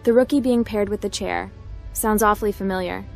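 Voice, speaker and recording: A woman's voice: mid-range voice, a little fast, gravelly, lightly acted. A woman voicing a friendly, curious female hacker adventurer as the protagonist of a space video game. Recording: faint music behind the voice.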